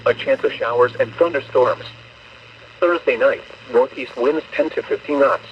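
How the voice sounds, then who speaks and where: A male automated voice reading a marine weather forecast in a flat, clipped way. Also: mid-range voice, normal speed, far from the mic, a little hiss or hum. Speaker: weather broadcast voice. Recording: radio speaker heard from off-mic, dull and lo-fi.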